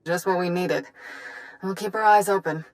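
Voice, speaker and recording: Woman acting a low-voiced, wry space adventurer heroine. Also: steady tone, no room sound, natural voice, poor audio. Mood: businesslike. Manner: wry, brisk, casual. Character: wry, practical young woman Jedi, quick-witted space adventurer. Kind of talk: naturalistic video game voice acting.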